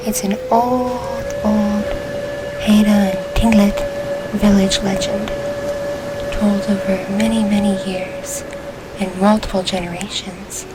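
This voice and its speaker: A woman voicing a gentle orca girl spirit who tells a bedtime story in a soothing, unhurried ASMR style, drifting into whispers. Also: medium pitch, very noisy.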